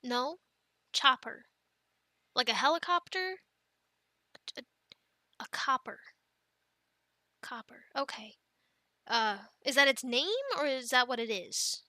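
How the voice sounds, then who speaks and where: A woman playing an affectionate, playful young mother in an ASMR family roleplay, talking gently and haltingly to her children. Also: high voice, no echo, clean studio sound, exaggerated voice. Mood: playful, bemused. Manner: gentle, halting, soft-spoken with playful bursts.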